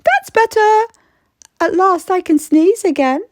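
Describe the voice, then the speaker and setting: A woman's voice: very high-pitched, animated, measured pace, no echo, studio quality, voice pushed up. A woman voicing a flustered, grumbling little old lady from a rhyming picture book, plaintive and exclamatory. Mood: relieved, delighted.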